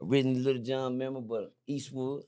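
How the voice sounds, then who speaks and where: A man's voice: medium pitch, fairly even intonation, quick pace, dark tone, clean tone. A man, a rapper guest talking in short, laid-back, slangy phrases. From Fort Worth, Texas. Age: 50s. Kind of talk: casual podcast chat.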